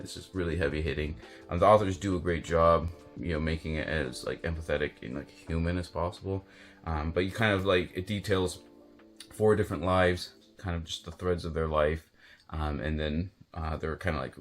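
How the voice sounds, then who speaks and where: A man chatting casually and unscripted as a book reviewer, rambling and hesitant with lots of filler words. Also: extremely low pitch. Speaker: book reviewer and video host. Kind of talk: casual unscripted chat to camera about books.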